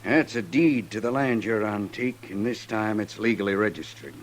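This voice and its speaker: A greedy frontier land grabber, played by a man speaks in a low American voice. His delivery is blunt and deliberate, in old-time radio western drama with faint recording hum. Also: lively intonation, close to an everyday voice. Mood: businesslike.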